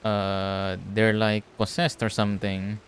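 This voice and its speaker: A man's voice: lowish voice, level tone, takes it slowly, noisy background. A man from the Philippines, giving casual, sweary commentary while livestreaming a game. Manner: informal, sweary.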